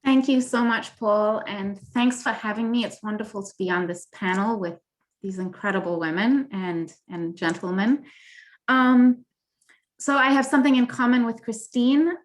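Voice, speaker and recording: A woman's voice: fairly high pitch, normal speed. A middle-aged woman from Kenya and Tanzania, speaking earnestly and with animation as a panelist over a video call. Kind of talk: panel discussion.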